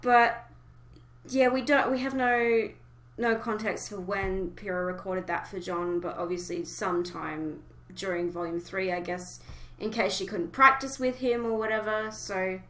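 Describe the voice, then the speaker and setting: A woman hosts a casual fan chat in a bright, forceful voice. She speaks emotively and exclaims readily, often pausing mid-thought before carrying on. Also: mid-range voice, fairly even intonation. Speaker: podcast host. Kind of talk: casual fan discussion of an animated series.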